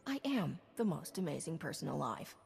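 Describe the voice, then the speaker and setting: Cocky space smuggler captain, husky, bouncy lively delivery, game cutscene. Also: female voice, low voice, moderate pace, exaggerated voice. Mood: wry. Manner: wry, self-assured banter. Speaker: player character.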